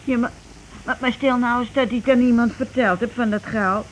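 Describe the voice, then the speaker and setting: A woman's voice: on the high side, steady tone, a little fast, close to an everyday voice. Woman acting a nervous, stammering wife, noisy radio. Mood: uneasy. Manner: hesitant, stammering, anxious. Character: nervous, timid, uneasy wife of a schemer. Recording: noisy old radio drama recording.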